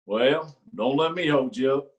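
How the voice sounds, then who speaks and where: A man's voice: mid-range voice, unhurried, lo-fi sound, lightly acted. A man playing a smug sheriff. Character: rural county sheriff, middle-aged man, prying and smug. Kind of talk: staged play reading.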